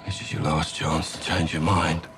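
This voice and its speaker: An actor playing a tough, sardonic army captain in a military game, blunt and menacing. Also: male voice, very deep voice, level tone, moderate pace, fairly bright tone, soft background music. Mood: grim, threatening. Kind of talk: dramatic game acting.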